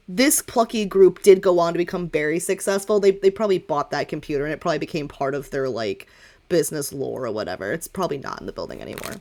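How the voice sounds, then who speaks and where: A woman's voice: fairly low pitch, darkish tone. A woman game master improvising narration for a tabletop game, talking informally and often doubling back to correct herself. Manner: informal, rambling, self-correcting.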